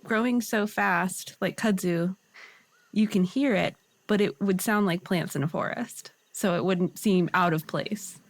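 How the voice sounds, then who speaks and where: A woman's voice: mid-range voice, a little slow, no room sound. A woman playing a tabletop game, describing her character's moves in informal, exploratory phrases. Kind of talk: casual improvised description.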